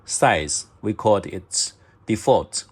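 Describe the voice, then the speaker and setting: Guy doing a slow device walkthrough, lowish voice, choppy short phrases. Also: lively intonation, husky. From China. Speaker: product demonstrator. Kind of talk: step-by-step instructional narration of a device menu.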